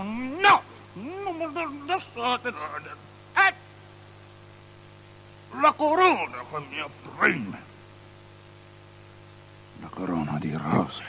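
A man's voice: sits low, creaky, background noise, heavily acted. An actor playing a lecherous, fearful biblical king in a theatrical drama, stammering and repeating himself obsessively between whispers and shrieks. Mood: faint, oppressed. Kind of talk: avant-garde theatrical radio drama.

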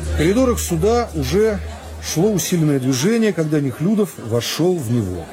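A man reading literary narration for a radio production in a measured, expressive way. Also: on the high side, music in the background.

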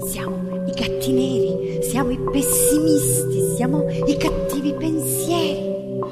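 High-voiced woman half-singing over loud music. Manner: dramatic, drawn-out phrasing. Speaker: singer-actress. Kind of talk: theatrical sung and half-spoken song performance. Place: theatre stage. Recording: echoey hall with loud music. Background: loud musical accompaniment with guitar.

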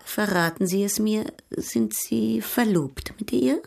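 An actress playing a worldly, teasing older baroness in a radio drama, polished, condescending and coquettish. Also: female voice, medium pitch, slow pace, creaky, darkish tone, exaggerated voice. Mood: coquettish, persuasive. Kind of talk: German radio drama acting.

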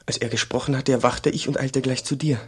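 An actor playing an obedient, devout monk in a German radio play, earnest and deferential, with a slightly husky voice. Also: male voice, medium pitch, steady tone, brisk, close to an everyday voice. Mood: earnest, contrite. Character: humble, obedient young monk, devout and penitent.

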